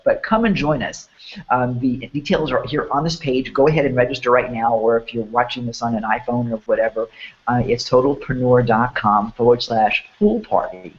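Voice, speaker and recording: A man hosting a promotional online chat who sounds hoarse and sniffly. He talks in an enthusiastic, rambling way with many restarts. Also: mid-range voice, normal speed, lo-fi sound. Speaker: host.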